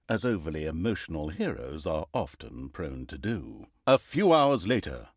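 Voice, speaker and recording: A man's voice: medium pitch, no room sound, studio quality. A man playing a pompous, sardonic storyteller in a fantasy video game, narrating in a theatrical mock-epic style with wry, mocking emphasis.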